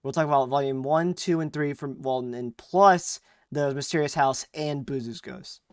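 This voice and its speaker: A man's voice: mid-range voice, normal speed, smooth, dry recording. Laid-back young man chatting casually. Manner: laid-back, rambling with fillers and restarts. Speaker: podcast co-host. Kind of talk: casual chat.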